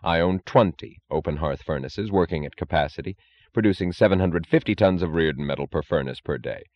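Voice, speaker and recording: A man voicing a calm, blunt industrialist who speaks in a cold, matter-of-fact, logical way. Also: low voice, brisk, no echo, muffled recording, lightly acted. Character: calm, blunt, defiant male steel industrialist, coldly logical.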